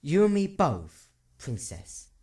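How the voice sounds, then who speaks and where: A man's voice: a little high, huge pitch swings, takes it slowly, dark tone, slightly rough, dry recording, clean studio sound, heavily acted. A man voicing an embittered, hollowed-out female pony in a dramatic story, brooding and intense. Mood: bitter, weary.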